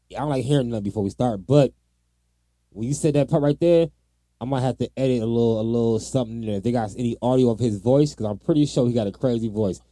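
A man's voice: mid-range voice, mellow, no echo. A man co-hosting a podcast, chatting casually about true crime in informal, slangy language. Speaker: podcast co-host.

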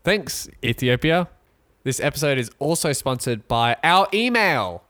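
A man co-hosting a podcast in casual chat, rambling and joking with halting restarts. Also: medium pitch, animated, a bit bright. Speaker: podcast co-host. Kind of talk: casual chat, mock sponsor read.

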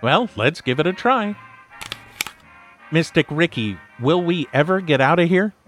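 A man's voice: a little high, expressive, unhurried, music in the background. A man playing an eager, easily distracted podcaster in a comedic audio drama. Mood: eager, curious.

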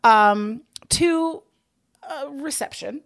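A woman talks casually as an interview guest, with noticeable vocal fry. She tells stories emphatically, often repeating a phrase to drive it home. The sound is dry, with hardly any room on it. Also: high voice, extremely slow, dry recording. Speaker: guest, organiser of play table readings. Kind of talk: casual chat.

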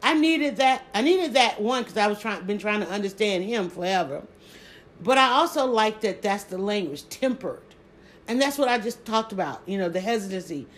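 A woman with a Southern accent chatting casually on a live stream, talking quickly and restarting her sentences often. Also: mid-range voice. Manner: rapid, stumbling restarts, animated emphasis. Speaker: social media creator.

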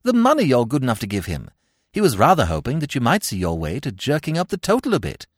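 A man voicing an excitable, dithering young upper-class English gentleman in an audiobook, flustered and rambling in old-fashioned slang. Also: medium pitch, fast talker, no echo, studio quality. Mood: nervous, eager. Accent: British upper-class.

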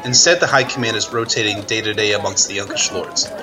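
A man's voice: on the low side, fast, natural voice, poor audio. A man playing an analytical war correspondent at an army camp, explaining matter-of-factly in a radio news play. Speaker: guest correspondent. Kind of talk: radio news report roleplay. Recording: outdoor crowd ambience behind voice.

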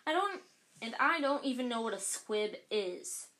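Lady voicing a nervous schoolboy, high and flustered, loud protests. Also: a little slow. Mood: defensive. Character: nervous, defensive young schoolboy.

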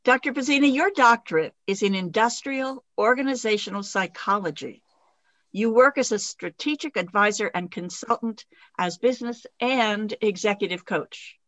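A woman hosting a radio interview show, delivering formal, deliberate introductions and questions. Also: mid-range voice, fairly bright tone, no room sound. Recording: dull, dry studio sound.

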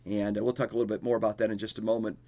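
Gravelly low-voiced man hosting a podcast. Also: flat delivery, very fast, warm tone. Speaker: podcast host and church pastor. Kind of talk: podcast interview.

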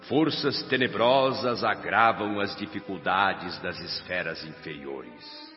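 An actor playing a noble, dignified old male spirit leader in a radio drama, speaking in a solemn, measured, oratorical way. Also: sits low. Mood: earnest, exhorting. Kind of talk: radio drama acting, formal speech. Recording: dull lo-fi, long hall reverb, music. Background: music.

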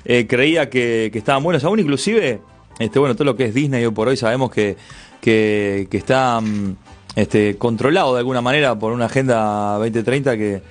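A man's voice: medium pitch, normal speed. A man with a Uruguayan accent presenting a radio segment in a chatty, rambling way, circling back on his phrases. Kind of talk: casual radio chat. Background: music in the background.

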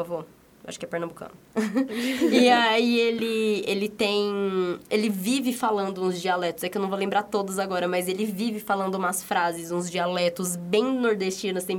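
Brazilian woman with a São Paulo lilt. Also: mid-range voice. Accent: Paulista Brazilian Portuguese mixed with Minas Gerais. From São Paulo state, Brazil. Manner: animated storyteller who laughs often. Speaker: conversation participant. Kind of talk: casual chat among friends.

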